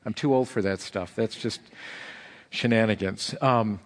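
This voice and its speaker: A middle-aged man, a hoarse pastor, preaching a sermon in an animated way. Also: unhurried. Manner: animated preaching, rising in pitch for emphasis.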